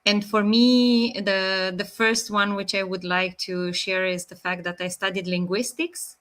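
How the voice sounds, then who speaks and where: A woman in her thirties with a Romanian accent, answering interview questions in a measured way with many pauses and fillers. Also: mid-range voice, flat delivery, fairly bright tone. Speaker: guest. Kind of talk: interview answers, online live session.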